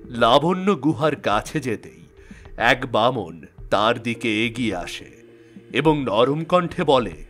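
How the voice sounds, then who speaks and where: A man's voice: medium pitch, expressive, takes it slowly, fairly bright tone, soft background music. Man narrating a fairy tale.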